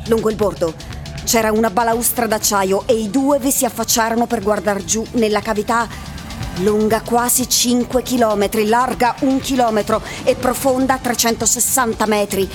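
An actress narrating a bleak futuristic story for a radio drama, in a measured literary style, with music behind her. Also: on the high side, steady tone, close to an everyday voice. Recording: music bed under the voice.

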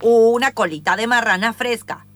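A woman's voice: a little high, moderate pace, no room sound, lightly acted. A woman playing a theatrical witch who chants recipes and spells in improvised radio theatre. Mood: commanding, chanting. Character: old cackling witch brewing potions, chanting spells.

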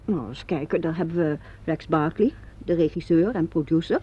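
An actress playing a witty, brisk theatre designer who keeps her nerves in check, chatting self-deprecatingly in an old radio play. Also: sits low, slightly rough. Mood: brisk, informative. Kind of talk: vintage Dutch radio play acting. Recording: dull lo-fi vintage radio recording.

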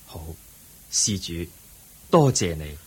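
Radio drama: benevolent Buddha, very husky, lively intonation, kindly solemn delivery, Hong Kong Cantonese. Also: male voice, low-pitched, slow pace, heavily acted. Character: serene, benevolent Buddha on his journey.

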